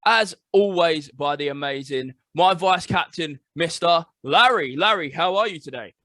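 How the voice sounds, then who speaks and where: A man's voice: on the high side, lively intonation, moderate pace, pushed and bright, no room sound, clean studio sound. A man from England hosting a casual esports podcast, upbeat and leading the chat with short reactions. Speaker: podcast host.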